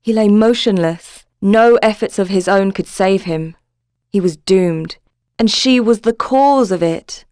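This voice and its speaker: A woman narrates a dramatic tale in a mid-range voice. Her delivery is measured and literary. The sound is dry, with almost no room around it. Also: medium pitch, a little mellow, clean tone, dry recording. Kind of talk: scripted dramatic story narration.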